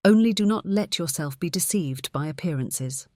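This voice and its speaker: A woman's voice: lowish voice, expressive, normal speed, warm tone, no room sound, studio quality, close to an everyday voice. A woman playing a stately, kindly lady giving reassurance in a dramatized fairy-tale audiobook. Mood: soothing, solemn. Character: stately beautiful lady, kindly dream adviser.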